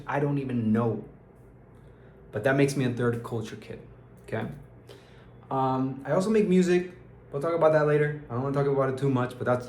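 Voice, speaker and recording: A man's voice: medium pitch, slight background noise. Rambling young man, echoey room. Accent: American English. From Peru. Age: young adult. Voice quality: rambling, restarts often, uses slang like dude and bro. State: tired. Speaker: vlogger. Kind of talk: casual diary vlog.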